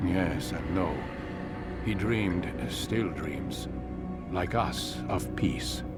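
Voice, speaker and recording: An actor playing an older, grave and wise mentor of assassins in a video game, speaking in a measured, solemn way. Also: male voice, deep voice. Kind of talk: dramatic video game cutscene acting. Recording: voice under loud music.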